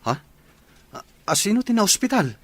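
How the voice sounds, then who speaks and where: A man's voice: sits high, sing-song, moderate pace, fairly bright tone, heavily acted. A man playing a mild, concerned younger brother with a working life in an audio drama.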